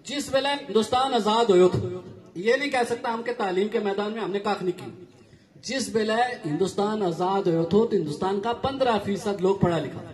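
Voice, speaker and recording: Man w a pahari-gojri accent giving an earnest public speech, pretty high voice. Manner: earnest, explanatory, switching local dialect and Hindi. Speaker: education official addressing a community meeting.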